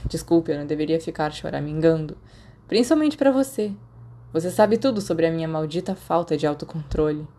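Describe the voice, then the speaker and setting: A woman's voice: sits low, lively intonation, fairly quick, warm tone. A woman voicing a tipsy young man in an audiobook, rueful and bitter as he rambles in slang. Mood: bitter, rambling. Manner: slangy, self-deprecating, drunken rambling. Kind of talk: audiobook character dialogue.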